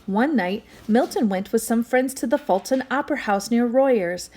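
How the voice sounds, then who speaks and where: A woman reading a children's book aloud as an expressive storyteller, speaking directly to young listeners. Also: a little high, normal speed. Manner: animated, addresses young listeners with questions and asides.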